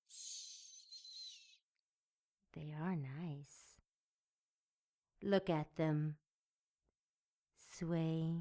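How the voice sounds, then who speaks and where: Low, slow, seductive femme fatale. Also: female voice, warm tone, exaggerated voice. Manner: slow, breathy purring, teasing and commanding. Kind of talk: audio drama acting.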